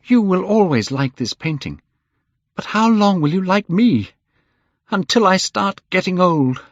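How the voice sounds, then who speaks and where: A man's voice: a little high, dry recording, pitched above the performer's own voice. A man voicing an anguished, vain young man who pleads in despair. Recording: slightly muffled dry recording.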